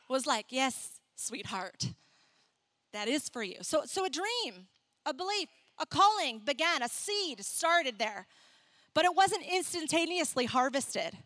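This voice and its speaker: A young adult woman who grew up in Ecuador, preaching and telling her own story in a candid, animated way. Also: high voice, dry recording. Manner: animated, candid storytelling with laughs and acted asides. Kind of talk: sermon with personal story.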